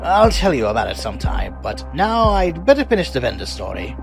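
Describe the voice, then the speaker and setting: A man voicing an excitable, insistent old former superhero's sidekick in a cartoon comic dub, urgent and dramatic. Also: mid-range voice, a little fast, creaky. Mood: boastful. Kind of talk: acted comic dub dialogue. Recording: music behind the voice.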